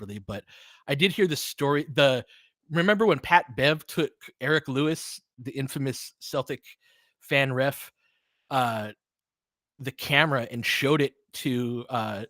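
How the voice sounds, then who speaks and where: A man's voice: mid-range voice, fairly bright tone, no room sound, studio quality. A man doing informal, partisan live basketball commentary as a fan host, talking casually with some mild swearing.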